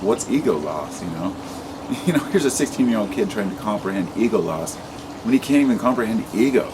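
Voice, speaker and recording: A man's voice: low-pitched, noisy background. A man in his late thirties talking freely in a vlog monologue, rambling and impassioned, swearing often and restarting his sentences. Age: 30s. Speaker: vlogger. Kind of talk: unscripted monologue to camera.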